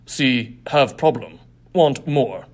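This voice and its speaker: A man voicing a greedy, menacing alien gang boss who speaks forcefully in clipped, broken sentences, in an audiobook story. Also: mid-range voice, expressive, takes it slowly. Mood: greedy, demanding.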